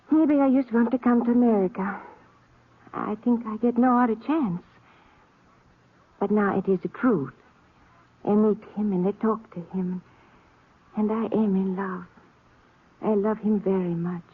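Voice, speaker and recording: A woman's voice: mid-range voice, unhurried, long pauses, slightly rough. A stubborn young immigrant woman speaks earnest, broken English. Her voice is a little grainy, and the recording is a thin, muffled old radio drama. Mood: pleading, confessing. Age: young adult. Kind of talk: 1950s radio western acting.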